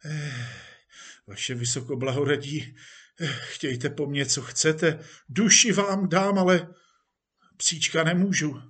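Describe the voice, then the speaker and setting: A man's voice: on the high side, lively intonation, breathy edge, pitched above the performer's own voice. A man voicing a polite, obliging theatre actor in an audiobook story, speaking with a hesitant, obsequious manner. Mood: anxious, pleading. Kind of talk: acted audiobook dialogue.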